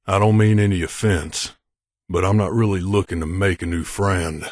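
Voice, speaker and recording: A man's voice: sits low, fairly even intonation, moderate pace, darkish tone, no echo, studio quality. A man playing a middle-aged mercenary bodyguard in a video game, a blunt and guarded loner who talks in a terse, matter-of-fact, aloof way. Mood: wary, dismissive. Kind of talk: video game character dialogue.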